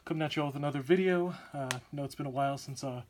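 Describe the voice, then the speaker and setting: A young man hosting a card game channel, slightly hoarse from a sore throat, talking casually and upbeat with lots of fillers. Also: a little high, rapid-fire, a little mellow. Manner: chatty, upbeat, many "uh" fillers. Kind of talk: casual deck profile walkthrough.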